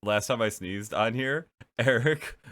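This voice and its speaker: A man's voice: medium pitch, takes it slowly, a bit bright. A young man with a stuffed-up nose hosting a casual chat, rambling with lots of fillers and giggles. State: stuffed up from allergies. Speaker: podcast host.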